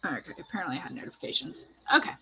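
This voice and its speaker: A woman's voice: sits low, very animated, rapid-fire, bright, forceful tone, slight background noise, low-quality recording. A woman hosting a casual writing livestream, talking in a chatty, informal way full of "like". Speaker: livestream host.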